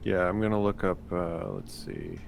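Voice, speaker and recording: A man's voice: deep voice, wildly swooping pitch, moderate pace, creaky, slight background noise. A man playing a tabletop roleplaying game, talking casually and hesitantly as he thinks aloud.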